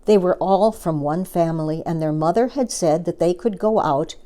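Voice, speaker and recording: Woman reading a kids storybook out loud, lowish voice, expressive storyteller. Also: normal speed. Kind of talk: expressive scripted children's story narration.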